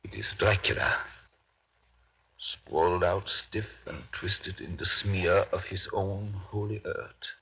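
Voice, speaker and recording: A man's voice: sits low, steady tone, takes it slowly, husky, heavily acted. Grave, theatrical older male vampire hunter for a 1930s radio play, muffled vintage sound. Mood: hushed dread. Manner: dramatic, urgent, swinging from hushed to forceful. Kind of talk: 1930s radio drama acting, first-person narration.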